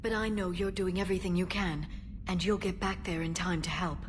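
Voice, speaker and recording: An actress playing a gentle, reassuring female comrade in a video game, speaking in a consoling way. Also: lowish voice, steady tone, brisk, background noise, close to an everyday voice. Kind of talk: video game character dialogue.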